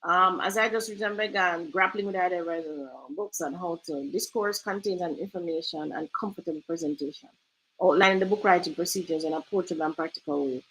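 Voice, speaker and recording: A woman's voice: on the low side, brisk, a bit bright, a little hiss or hum, rough recording. A Jamaican woman hosting a casual screen-share tutorial, chatty and loose, often asking "right?". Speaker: host.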